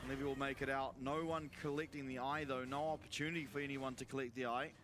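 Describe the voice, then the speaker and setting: A man giving brisk, steady play-by-play commentary on an esports match. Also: mid-range voice. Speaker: play-by-play caster.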